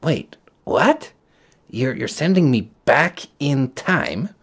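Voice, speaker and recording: A man's voice: mid-range voice, very animated, slow, slightly rough, heavily acted. A man voicing a bewildered, anxious middle-aged man who has newly died, with a hesitant, questioning manner, in a storybook reading. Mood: puzzled, questioning. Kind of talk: storybook character voice.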